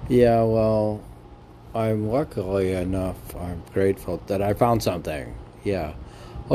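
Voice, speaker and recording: A man talking casually in a halting, musing, repetitive way and reciting poetry as a podcast host, on an amateur recording. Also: on the low side, creaky, dark tone, a little hiss or hum. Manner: halting, musing, repeating phrases. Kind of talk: rambling casual talk and poem recitation.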